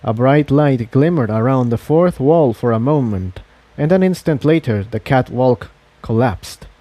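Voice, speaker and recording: Guy reading an adventure story out loud, soft mellow tone, really animated pitch. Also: unhurried, no room sound. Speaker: fan fiction reader. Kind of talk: scripted narration of an adventure story.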